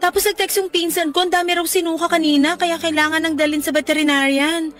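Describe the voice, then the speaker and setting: A woman's voice: extremely high pitch, steady tone, quick pace, a bit bright, soft background music. A woman acting a frank, protective young best friend in a Tagalog radio drama, emphatic and reproving. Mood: worried, hurried.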